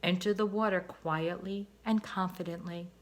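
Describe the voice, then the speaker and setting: A woman's voice: fairly low pitch, steady tone, moderate pace, lightly acted. A woman voicing a proud father swan who gives a grand, emphatic speech in a storybook.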